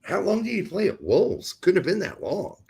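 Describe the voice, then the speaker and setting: A man's voice: high voice, sing-song, normal speed, creaky, dark tone, breathy edge. A man with an American accent chatting casually about sports as a livestream co-host, blunt and opinionated.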